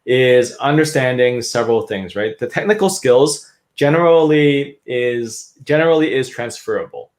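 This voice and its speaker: Canadian-raised man, guest expert, explains at length with restarts and tag questions, mid-pitched voice. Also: expressive, moderate pace, few pauses. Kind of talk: interview talk.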